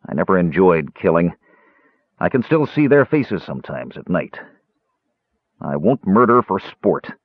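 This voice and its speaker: Big storybook fighter, voiced by a man: low, husky, slow, stern and deliberate, heard through a band-limited sound. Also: sing-song, dry recording, highs cut off, heavily acted. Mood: grim refusal. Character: big, grim, stern, conscientious male warrior.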